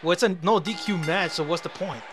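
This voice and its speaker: Young dude rambling and swearing over a video game, voice a bit worn out, loud music behind. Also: male voice, sits high, a little fast. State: voice slightly worn from talking. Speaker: gaming YouTuber. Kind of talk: casual let's play commentary. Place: indoor room at home. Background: wrestling game audio, loud music.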